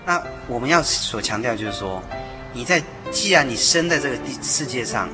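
Very fast-talking man over music. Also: medium pitch, animated. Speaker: interview guest, songwriter. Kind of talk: casual conversational explanation. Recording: music behind the voice.

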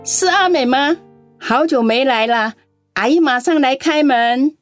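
An actress playing a lonely, kindly old grandmother in a radio play, speaking slowly and gently. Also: high-pitched, faint music behind. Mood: gentle. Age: older. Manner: slow, gentle, grandmotherly; tearful when upset.

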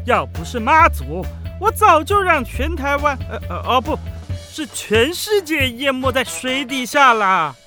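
Casting: vain frog prince villain, Taiwanese Mandarin, theatrical, slow high delivery over prominent music. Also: male voice, sing-song, sharp tone, full character voice. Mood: boastful, resentful. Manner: theatrical, grandiose. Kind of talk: children's radio drama acting.

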